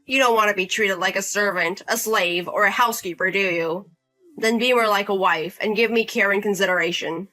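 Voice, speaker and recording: A woman's voice: medium pitch, brisk, bright, forceful tone, faint music behind, natural voice. A woman voicing an entitled, bullying older brother-in-law in his thirties who talks down to people and makes demands, in a voice-acted drama story. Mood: scolding. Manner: demanding, condescending, scolding.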